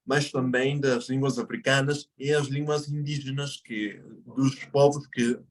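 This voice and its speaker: A man from Mexico, a native Spanish speaker, chats as an interview guest. His voice sits at an ordinary middle pitch. He explains his points patiently, pausing and correcting himself along the way. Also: fairly even intonation. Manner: explanatory, thinks aloud with pauses and self-corrections. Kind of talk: casual interview conversation.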